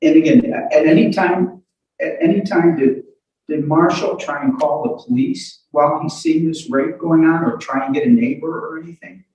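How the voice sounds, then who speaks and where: A man questioning a witness in court as a lawyer, halting and insistent, often repeating the first words of his questions. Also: a little high, a little mellow, muffled recording. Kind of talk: courtroom cross-examination. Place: courtroom hearing. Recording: echoey courtroom, distant microphone.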